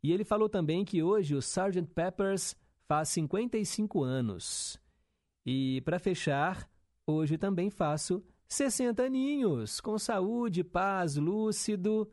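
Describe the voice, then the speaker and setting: A man's voice: high voice, animated, slow pace, a little mellow, no echo, clean studio sound. A young male radio host, chatting casually and reading listener messages with upbeat teasing asides.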